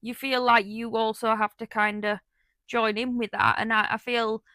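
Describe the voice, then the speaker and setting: A woman's voice: fairly high pitch, level tone, normal speed, bright, forceful tone, dry recording, clean studio sound. A woman co-hosting a podcast, chatting casually and drawing her partner in with tag questions. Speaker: podcast co-host. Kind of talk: casual chat.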